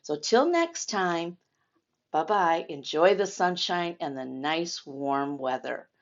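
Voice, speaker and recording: Older woman reading expressively to children, unhurried. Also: lowish voice. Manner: expressive, addressing young children directly. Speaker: storytime host reading a picture book aloud to children. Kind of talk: children's read-aloud storytime. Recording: dull, lo-fi sound.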